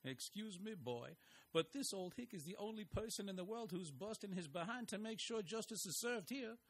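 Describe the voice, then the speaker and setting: A man's voice: high voice, lively intonation, moderate pace. An actor playing a folksy, wry older male investigator who narrates his story. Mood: earnest. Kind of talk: audio drama narration and acting.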